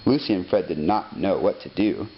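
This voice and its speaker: A man narrating a children's story expressively, reading deliberately phrase by phrase. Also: low-pitched. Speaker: storybook reader. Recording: dull lo-fi sound, faint noise.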